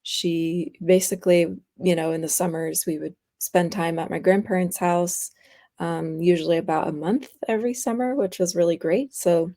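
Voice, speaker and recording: A woman's voice: on the low side, moderate pace, warm tone. A young woman guest chatting casually in an interview, rambling with frequent laughs. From United States, raised partly in France. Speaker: interview guest, graphic artist.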